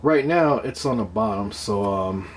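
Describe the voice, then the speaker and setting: Casting: man with a lowish voice, informal DIY demonstrator, halting and unscripted, faint background noise. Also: expressive. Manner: halting, unscripted, thinking aloud. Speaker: DIY speaker builder presenting own project. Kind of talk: casual hands-on demonstration talk.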